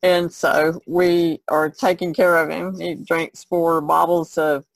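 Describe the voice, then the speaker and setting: A woman with a gravelly, creaky voice chats casually as a podcast co-host. She rambles in an easygoing way, recorded dry with little room sound. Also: low-pitched, level tone, slow, no echo, poor audio. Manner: rambling, easygoing, giggles often. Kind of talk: casual chat.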